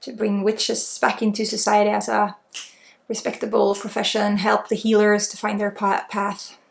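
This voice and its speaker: A woman's voice: mid-range voice, monotone, moderate pace, gravelly. A woman with a Swedish accent leading an unscripted ASMR spiritual healing session in a soothing, informal, reassuring way. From Sweden. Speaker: energy healer and ASMR host. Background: faint music.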